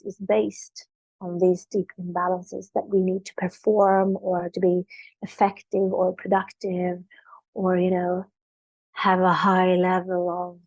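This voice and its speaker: Swedish-native woman with flat, even delivery. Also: medium pitch, dry recording, rough recording. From Sweden. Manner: earnest, flowing spiritual talk. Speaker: author and spiritual coach. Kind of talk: podcast interview.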